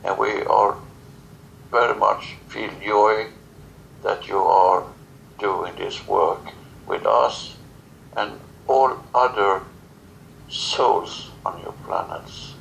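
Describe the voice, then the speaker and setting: Slow, very gravelly non-native English-speaking man. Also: lowish voice, a bit bright, a little hiss or hum, low-quality recording. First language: not English. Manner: halting, solemn, speaks in short broken phrases. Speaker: spiritual channeler answering questions. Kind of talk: channeled spiritual teaching in a guided session.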